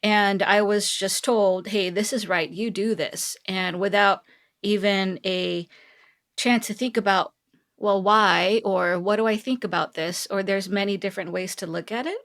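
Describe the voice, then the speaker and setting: A woman's voice: medium pitch, unhurried, a bit bright. A pregnant woman in her thirties from South Korea, a tech executive talking earnestly and reflectively as a podcast guest, with frequent light laughs. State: heavily pregnant. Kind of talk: podcast interview.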